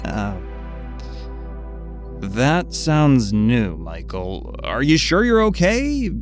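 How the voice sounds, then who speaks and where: A man's voice: medium pitch, huge pitch swings, very slow, faint music behind, exaggerated voice. An actor playing a well-meaning, awkward father in an audio drama, hesitant, nervous and placating. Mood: worried, placating. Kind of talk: naturalistic audio drama acting.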